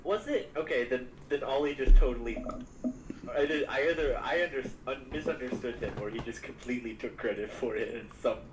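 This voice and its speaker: A man streaming a modded game, chatting casually and jokingly with a friend. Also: fairly quick. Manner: chatty, animated, jokey squeaks. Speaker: Minecraft modpack streamer. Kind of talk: casual gaming chat. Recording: echoey, distant mic with clear background noise.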